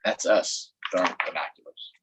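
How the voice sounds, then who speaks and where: A man's voice: normal speed, a bit bright, slight background noise, poor audio. A man reading aloud to children as a storytime host, playfully putting questions and asides to his listeners. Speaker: storytime host, public official.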